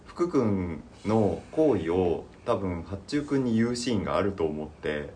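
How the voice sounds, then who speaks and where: A man's voice: low voice, very animated, unhurried, mellow, slight background noise. A man in a casual creative chat, thinking aloud and acting out imagined lines as he goes. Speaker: podcast member discussing a voice drama plan.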